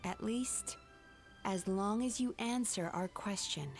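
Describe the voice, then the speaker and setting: A woman playing a composed, earnest young dream therapist in a video game, speaking in a measured, formal, gently firm way. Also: mid-range voice. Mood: firm, probing. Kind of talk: English video game voice acting. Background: game music.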